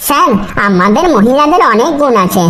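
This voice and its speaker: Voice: sits high, very animated, brisk, a bit bright. A performer voicing a cheeky, wisecracking school pupil in a comedy cartoon, with a cocky, explanatory delivery.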